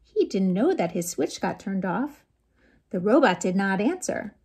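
An American woman in her forties hosting a read-aloud storytime for young children, in an animated, sing-song way with playful sound effects. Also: medium pitch, a little slow, husky. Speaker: children's librarian, storytime host. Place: small lamp-lit room at home.

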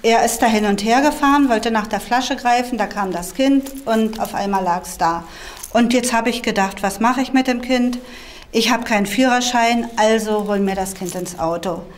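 A woman's voice: fairly high pitch, normal speed. A woman in her forties, a prosecutor, recounting events in a filmed interview indoors. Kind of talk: spoken interview recollection.

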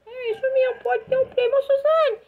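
A woman's voice: extremely high pitch, steady tone, moderate pace, phone-quality sound, voice pushed up. A girl voicing a whiny boy doll. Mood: pleading. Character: boy doll friend, bargaining for sweets. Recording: noisy home recording.

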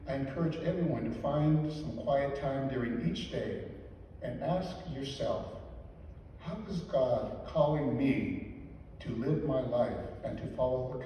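An older man giving a weekday homily at a lectern, earnest and measured, stressing key words slowly. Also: mid-range voice, warm tone, a little hiss or hum. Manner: measured, earnest, slow deliberate emphasis. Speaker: deacon or priest giving a homily. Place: large church sanctuary. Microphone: lectern mic, filmed from the back pews. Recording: echoey church from far off.

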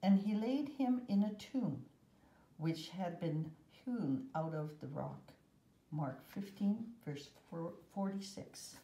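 A woman reading a children's Bible picture book aloud with measured, deliberate phrasing and pauses, recorded indoors. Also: fairly low pitch, expressive, dark tone, echoey room, poor audio. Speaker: storybook reader.

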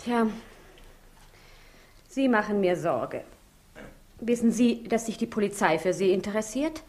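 Woman as young fiancée, mid-range voice, solicitous, gentle, 1960s radio crime drama. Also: medium pitch, lively intonation, measured pace, lightly acted. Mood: concerned. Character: caring, concerned young fiancée, female.

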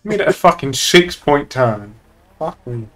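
A man's voice: on the high side, animated, moderate pace, a bit bright. A young man chatting casually and bluntly while streaming a game, with plenty of swearing. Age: young adult. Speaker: streamer playing a police officer in online roleplay.

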